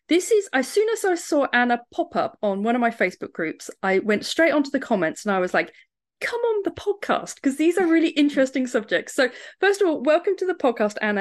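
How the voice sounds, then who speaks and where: Woman, higher voice, podcast host, enthusiastic, chatty. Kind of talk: podcast interview.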